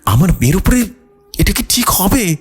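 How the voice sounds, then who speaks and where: A man's voice: sits high, very animated, normal speed, husky, pitched above the performer's own voice, full character voice. A man voicing a worried, frightened mother in a horror story, asking anxious, pleading questions. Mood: fearful, doubting.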